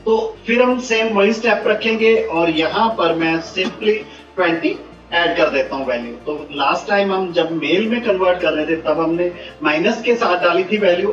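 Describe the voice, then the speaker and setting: A man giving step-by-step software instructions, mixing in English technical words. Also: high-pitched. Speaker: software tutorial presenter. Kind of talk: step-by-step screen tutorial. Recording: muffled, roomy, distant mic, faint music.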